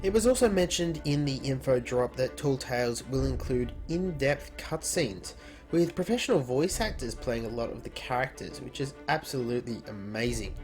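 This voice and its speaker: Man, gaming news narrator, informal scripted delivery, mid-range voice, background music. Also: medium pitch. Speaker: host of a gaming news video.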